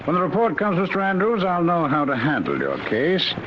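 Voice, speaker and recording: An actor playing a grave army colonel with a measured, authoritative, formal manner in a 1950s radio drama. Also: male voice, sits high, wildly swooping pitch. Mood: commanding. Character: grave, commanding male army colonel, security officer. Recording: noisy muffled old radio recording.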